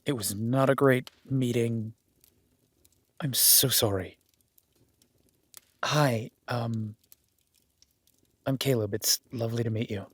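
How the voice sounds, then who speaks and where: A man's voice: mid-range voice, steady tone, slow, long pauses, fairly bright tone, no room sound. A man playing an awkward, self-deprecating young werewolf duke in a roleplay audio drama, stammering and apologetic, speaking informally to the listener. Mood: apologetic, nervous.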